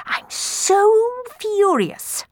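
A woman storyteller playing a spiteful, furious young sister, rasping and scolding through gritted teeth. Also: very high-pitched, sing-song, extremely slow, darkish tone, no echo, studio quality, voice pushed up, full character voice. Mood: seething.

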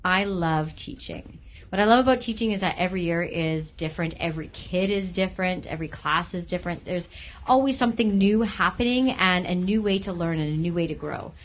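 A woman in her thirties, a grade five teacher, answering interview questions as a guest and explaining things in clear, simple terms for young listeners. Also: on the low side, brisk, slight background noise, rough recording.

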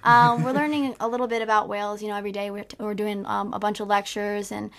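A woman's voice: mid-range voice, level tone, fast talker. A young woman from Florida, an exchange student talking in a friendly, easygoing way on a radio show. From Florida, United States. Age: young adult.

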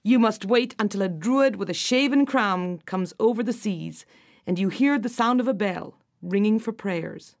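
A woman voicing a cruel, scheming witch stepmother queen in a storybook tale, speaking low, hushed and menacing. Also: normal speed, close to an everyday voice. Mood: gloating.

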